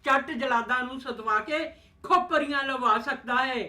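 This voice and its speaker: A performer playing a fearful, cautioning chanter in a rhymed stage play, with slow, incantatory, repetitive delivery. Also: high voice, pushed and bright, exaggerated voice. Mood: ominous warning. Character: fearful cautioning chanter warning a truth-teller to hush. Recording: echoey room.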